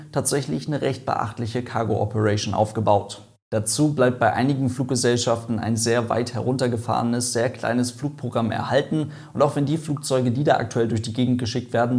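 Man, online aviation host, casual explainer, chatty, joking, mid-pitched. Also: darkish tone.

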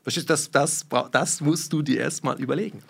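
A man's voice: on the high side, brisk, gravelly, fairly bright tone, slightly rough. A man from Germany, talking in a heated conversation with emphatic rhetorical questions and blunt swearing.

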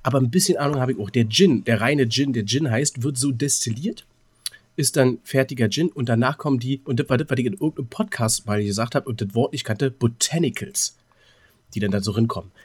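A man's voice: medium pitch, fairly quick, darkish tone, slightly rough. A man co-hosting a podcast in casual, joking chat. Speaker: podcast co-host.

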